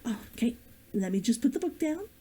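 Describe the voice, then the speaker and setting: A woman author and streamer reading her novel aloud and chatting with viewers in a gentle, expressive way. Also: on the high side, a little fast, breathy edge. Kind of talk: expressive storybook read-aloud and chat.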